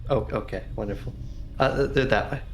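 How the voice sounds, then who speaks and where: A man's voice: medium pitch, brisk, a little hiss or hum. A man playing a tabletop roleplaying game, chatting casually in a wry, joking way.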